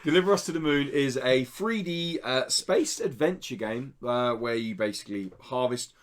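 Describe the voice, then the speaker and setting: A man's voice: medium pitch, animated, normal speed. A man hosting a podcast in a studio, reading out indie game news in an informal, explanatory way. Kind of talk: casual podcast news chat. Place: indoor studio.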